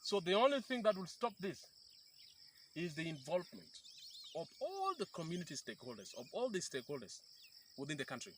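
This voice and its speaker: A man's voice: fairly high pitch, wildly swooping pitch, fairly quick, a little hiss or hum. A man in his thirties from South Sudan, explaining earnestly as a guest in an outdoor interview by a river, often restarting his sentences. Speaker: peace activist guest. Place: outdoors by a river in rural bushland.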